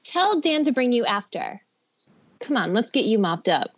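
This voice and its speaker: A woman's voice: fairly high pitch, sing-song, moderate pace. A woman playing a jaded, wry teenage girl in an amateur script table read. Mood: wry, exasperated. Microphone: Skype call. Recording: dull lo-fi internet call.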